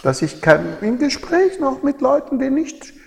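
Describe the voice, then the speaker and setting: An older man with an Austrian accent recalls his life in an interview, haltingly, often repeating and rephrasing as he goes. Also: extremely high pitch, very animated, gravelly, a little mellow, a little hiss or hum. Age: older, over 70. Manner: halting, repeats and reformulates phrases. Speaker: interviewee, former journalist. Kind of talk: reflective interview recollection. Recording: long reverb of a hall.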